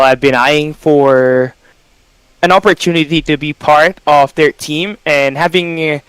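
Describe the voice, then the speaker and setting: Smooth-voiced man, dry sound. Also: on the high side, fairly bright tone, dry recording, rough recording. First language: Tagalog. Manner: earnest, with frequent fillers like "you know". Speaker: esports caster, guest. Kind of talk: casual interview answers.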